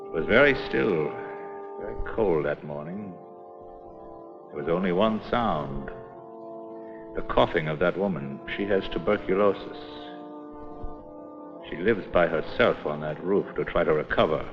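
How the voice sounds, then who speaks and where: An actor playing an earnest social reformer and newspaper reporter in an educational film drama, speaking in a deliberate, pointed way. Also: male voice, sits low, over music. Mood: somber. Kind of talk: educational film re-enactment acting. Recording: muffled old film soundtrack, reverberant.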